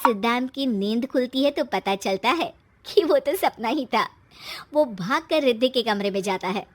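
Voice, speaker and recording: A woman's voice: fairly high pitch, animated, normal speed, a little hiss or hum. A woman reading scripted narration as the narrator of a story.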